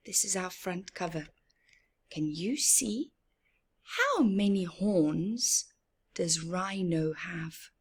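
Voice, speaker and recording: A woman's voice: medium pitch, slightly rough. A woman from Africa hosting a children's storytime, reading aloud in a teacherly, emphatic way with questions and explanations. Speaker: children's storytime host and reader.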